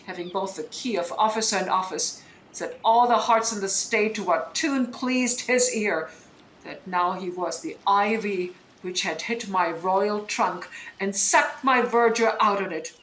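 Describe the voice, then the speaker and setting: A woman's voice: medium pitch, measured pace, a bit bright, close to an everyday voice. Proud, wronged exiled duchess acted by a woman, roomy sound. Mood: bitter, intense. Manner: declamatory, intense, commanding. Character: wronged exiled duchess sorceress, proud mother telling her betrayal. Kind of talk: Shakespearean verse audio drama acting. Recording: roomy, faintly noisy home online recording.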